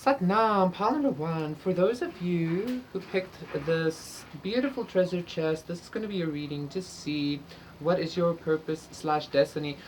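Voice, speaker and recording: A woman, an Afrikaans speaker, talks casually through a tarot reading in a somewhat low voice. She is chatty, with frequent "like" and "okay?" tags. A faint hiss sits under the recording. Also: a bit bright, low-quality recording. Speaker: tarot reader.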